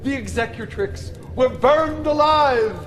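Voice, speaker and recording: An actor playing a grave, servile advisor to a cruel lord in a game cutscene, formal and measured. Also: male voice, very high-pitched, fairly bright tone, full character voice. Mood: grave, dutiful. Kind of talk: video game cutscene acting. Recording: long reverb, music behind the voice.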